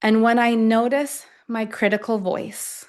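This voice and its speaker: A woman leading a guided affirmation meditation, speaking deliberately in short phrases with long pauses between them. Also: on the high side, level tone. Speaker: guide of a self-affirmation tapping session.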